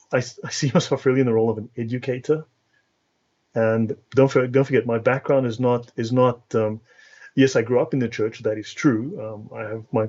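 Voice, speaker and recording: A man's voice: medium pitch, a little mellow, highs cut off. A South African man speaking as an interview guest, explaining things in an academic, didactic way and checking that he is understood. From South Africa, born and raised in Cape Town. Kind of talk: explanatory live interview talk.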